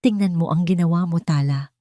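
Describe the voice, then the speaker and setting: A woman's voice: lowish voice, brisk, mellow, dry recording, clean studio sound, close to an everyday voice. A woman voicing a cold, cruel husband with a hard, condescending manner in an audio drama. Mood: condescending, disappointed. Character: cold, cruel, gaslighting husband, menacingly calm man.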